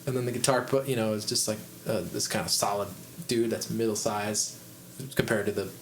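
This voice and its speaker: Midwest guy hosting a podcast, low chesty voice, rambles n restarts a lot, faint hiss behind. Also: level tone, brisk. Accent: American Midwest. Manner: rambling, restarts, thinks aloud. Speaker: podcast host, musician. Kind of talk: casual chat.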